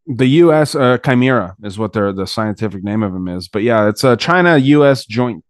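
A man's voice: medium pitch, lively intonation, fairly quick, no echo, studio quality. A man hosting a podcast in casual chat, talking in a profane, slangy way. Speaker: podcast host.

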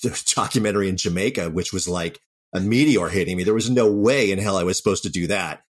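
Fast-talking man with a low voice. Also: slightly rough. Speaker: writer-director of audio dramas, interview guest. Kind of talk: casual interview talk.

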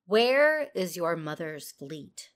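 Woman as a stern, low-pitched warrior master. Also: sing-song. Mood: stern, demanding. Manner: measured, formal, commanding. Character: stern Jedi Master aunt, commanding, composed warrior. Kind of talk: sci-fi audio drama acting.

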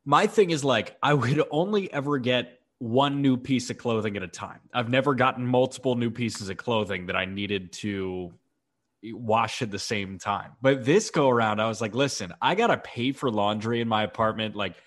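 A man's voice: mid-range voice, moderate pace, fairly bright tone. A man from Chicago co-hosting a baseball podcast, congested with a cough, chatting in a laid-back way. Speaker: podcast co-host. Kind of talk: casual sports podcast chat.

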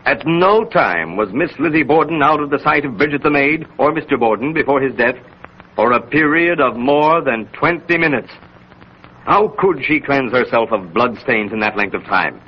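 A man's voice: medium pitch, animated, slightly rough. An actor playing an impassioned male defense lawyer, oratorical and emphatic, in 1930s radio style. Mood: grave, reasoning. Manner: oratorical, deliberate emphasis and repetition. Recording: muffled 1930s radio recording.

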